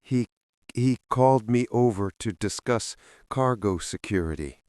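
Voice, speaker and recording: A man voicing an injured guard who has just come round, pained and halting in his speech. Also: medium pitch, animated, a little mellow, dry recording, clean studio sound, natural voice. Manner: halting, stammering.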